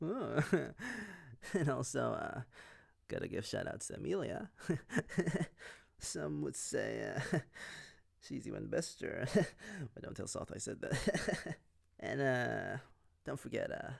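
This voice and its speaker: A man rambles casually in a halting, giggly manner. His voice is heavily gravelly and swings widely in pitch. The sound is close and dry. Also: big pitch swings, no room sound. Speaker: voice actor. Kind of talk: rambling casual shout-outs.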